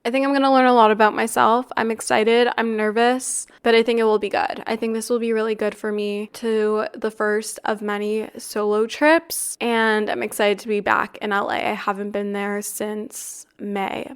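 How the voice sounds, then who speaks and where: A young woman in her twenties hosts a chatty podcast, sounding a little congested and raspy from a recent flu. Her voice sits fairly high and stays smooth and clear, with a flat, even melody as she talks upbeat and easy. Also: moderate pace, hardly any pauses. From Canada. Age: 20s. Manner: chatty, upbeat, with frequent "like" and "really". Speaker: podcast host. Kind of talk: casual chat.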